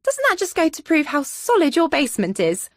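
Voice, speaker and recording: A woman's voice: sits high, wildly swooping pitch, fairly quick, no echo, clean studio sound, voice pushed up. A woman voicing a brash, flirty female estate agent in an audiobook, breezy, emphatic and pushy. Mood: brisk, triumphant.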